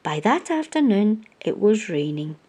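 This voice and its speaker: A woman reading a children's picture book aloud as a storytime host, with expressive storyteller phrasing. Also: medium pitch, unhurried, breathy edge.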